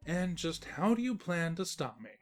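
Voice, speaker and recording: Casting: woman for teasing phantom narrator in a cartoon story, deep register, animated, drily smug. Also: normal speed. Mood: taunting.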